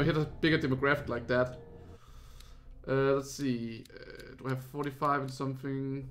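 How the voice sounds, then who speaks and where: A man's voice: fairly high pitch, a bit bright, a little hiss or hum. Danish-accented man streaming a horror game. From Denmark. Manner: chatty, easily spooked, frequent fillers. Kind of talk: casual let's play commentary.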